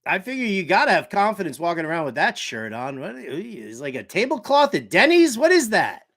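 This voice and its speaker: A man's voice: sits high, huge pitch swings, fairly quick, fairly bright tone. A man hosting a sports talk show, giving casual comedic commentary in a joking, theatrical way with emphatic repetition. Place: shed used as home studio.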